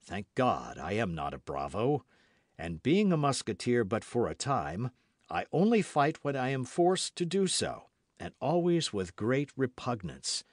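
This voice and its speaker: A man voicing a refined young musketeer in an audiobook, measured, formal and coldly polite with a cutting edge. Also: medium pitch, sing-song, dry recording, studio quality. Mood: haughty, restrained. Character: cool, haughty, refined young musketeer gentleman. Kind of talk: audiobook character acting.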